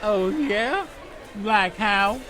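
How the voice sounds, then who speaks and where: A cute dopey teddy bear for radio comedy, squeaky high, slow and unsure. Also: male voice, expressive, over music, a crowd in the background, exaggerated voice. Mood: defensive, innocent. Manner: innocent, hesitant, easily sidetracked. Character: naive, cute, slightly dim male teddy bear. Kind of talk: comic audio drama acting.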